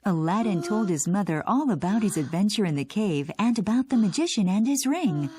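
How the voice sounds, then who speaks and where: A woman narrating a scripted children's fairy tale in an expressive storytelling manner. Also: mid-range voice, brisk, slightly rough.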